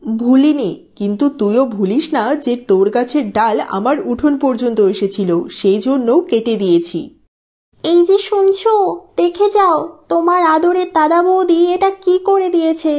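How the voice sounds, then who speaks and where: A woman's voice: sits high, moderate pace, darkish tone, lightly acted. A woman voicing a quarrelsome, easily annoyed village housewife in a cartoon. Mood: indignant. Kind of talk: Bengali cartoon voice acting. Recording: dull audio with music underneath.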